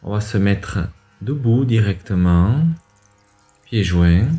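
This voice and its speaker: Man, yoga instructor, lowish pitch, dark relaxed timbre, measured step-by-step guidance. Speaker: yoga teacher and radio show host. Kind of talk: guided yoga class instruction. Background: music under the voice.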